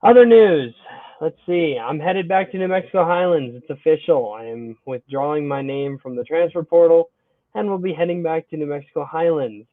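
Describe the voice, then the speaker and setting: A man's voice: a little high, normal speed, no echo. Young man hosting, tinny dry line. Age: young adult. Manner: upbeat, energetic intro then relaxed. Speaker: podcast host. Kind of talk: casual podcast chat.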